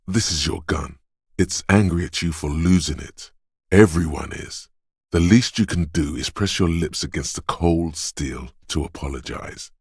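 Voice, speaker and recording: An actor playing the coolly urging inner voice of a detective's reflexes in a video game, narrating to the listener in a deliberate, intimate, theatrical way. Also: male voice, no echo, clean studio sound, full character voice. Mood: sly, coaxing. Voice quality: very deep, smooth, resonant. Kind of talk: dramatic second-person game narration.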